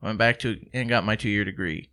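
A man's voice: fairly low pitch, a little fast, pushed and bright, smooth. A man in his thirties co-hosting a podcast, telling jokey stories and swearing freely. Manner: joking storyteller, swears freely. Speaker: podcast co-host. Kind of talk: casual podcast banter.

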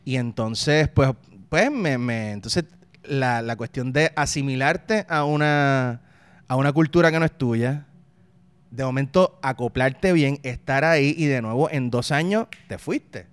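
A man's voice: medium pitch, measured pace, clean tone, dry recording. A man in his thirties from Puerto Rico with a Puerto Rican accent, a guest talking casually and reflectively in an interview, often restarting and repeating words. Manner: uses local slang.

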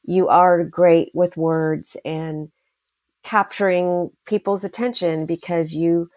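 A woman interviewer speaking encouragingly and haltingly, with many ums and restarts. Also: on the low side, steady tone, no room sound. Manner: warm, affirming, hesitant with many fillers. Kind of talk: casual conversation.

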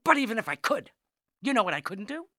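A woman's voice: fairly high pitch, very animated, fast, bright, forceful tone, no echo, clean studio sound, exaggerated voice. A woman playing an exasperated, argumentative New York wife in an audio comedy, speaking with sarcastic, indignant emphasis. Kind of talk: comedic audio drama acting.

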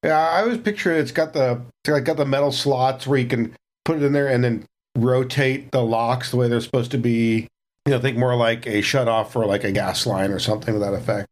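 A man's voice: mid-range voice, a little fast. A man playing a tabletop game, chattily and wryly describing what his character does and thinking aloud. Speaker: player.

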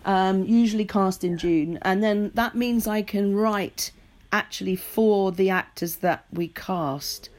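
A middle-aged British woman talking casually and in an animated way as an interview guest, a theatre writer and director. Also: mid-range voice, slow pace.

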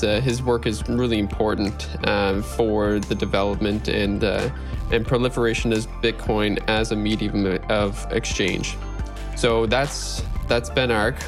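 A man hosting a podcast, giving a casual, somewhat halting introduction and announcement. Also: fairly low pitch. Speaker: podcast host.

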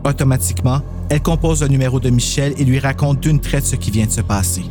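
A man's voice: medium pitch, fast, music in the background. A man reading scripted narration for a horror story dramatization.

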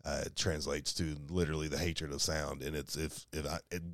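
A soft-spoken man co-hosting a casual podcast chat, rambling with lots of restarts and quick laughs. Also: a bass voice, flat delivery, no room sound, studio quality. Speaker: podcast co-host. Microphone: studio mic.

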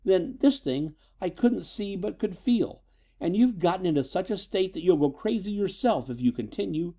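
A man voicing a frightened, high-strung but defiant young woman in a storybook reading, nervous and emotional. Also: sing-song, normal speed, warm tone, slightly rough. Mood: angry, defiant.

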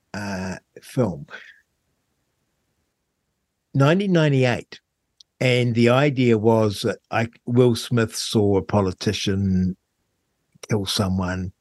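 A man with a fairly low voice and a New Zealand accent hosts a radio talk show. He speaks conversationally and reflectively, halting as he pieces his thoughts together. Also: husky, no echo.

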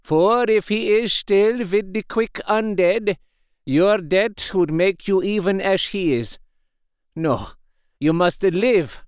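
A solemn, fatherly old professor voiced by a man speaks in slow, pleading, grave tones. The voice sits a little high and smooth, recorded close and dry. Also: dry recording.

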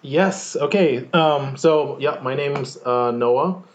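A man's voice: mid-range voice, slow pace, dull audio. A young man from Hawaii, a guest on a podcast, introducing himself in a hesitant way full of fillers. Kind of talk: casual self-introduction.

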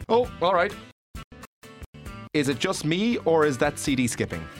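A man's voice: on the high side, sing-song, a little slow, soft background music. An Irish man playing a deferential, eager radio sidekick who reads out listener messages in a comedy radio play. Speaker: radio co-host.

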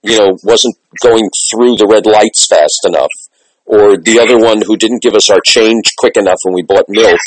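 Casting: male interview host, slightly low voice, dry sound, halting and effusive, doubles back on words. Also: no echo, low-quality recording. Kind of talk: casual interview chat.

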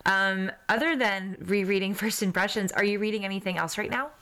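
A woman's voice: mid-range voice, brisk, bright, forceful tone, a little hiss or hum. A woman hosting a casual interview, speaking in an encouraging, easily amused way. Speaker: podcast host.

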